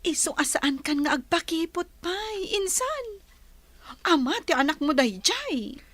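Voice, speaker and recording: A woman's voice: very high voice, big pitch swings, fairly bright tone, no room sound, exaggerated voice. A woman playing a teasing, meddling cousin and confidante in an audio drama. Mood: coaxing, teasing. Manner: teasing, drawn-out delivery.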